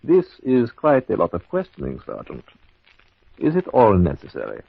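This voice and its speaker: A smooth, scheming husband from an old radio mystery, low and husky. He speaks formally and theatrically, with big swings in pitch. The sound is dull and dry, like an old broadcast. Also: huge pitch swings, moderate pace, dry recording, full character voice. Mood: indignant. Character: smooth, cold-blooded husband plotting his wife's murder.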